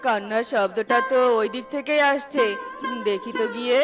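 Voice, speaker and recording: A woman plays a dutiful cartoon bird doctor, high in pitch. The delivery is earnest and reassuring, with clear music underneath. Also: normal speed, lightly acted. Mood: concerned. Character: dutiful, helpful male bird doctor, a father.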